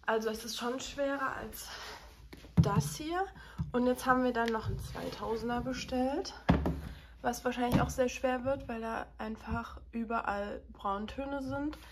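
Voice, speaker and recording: A woman's voice: a little high, measured pace, very noisy. A young woman chatting casually to the camera as a vlogger at home, rambling a little with fillers. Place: apartment living room and kitchen.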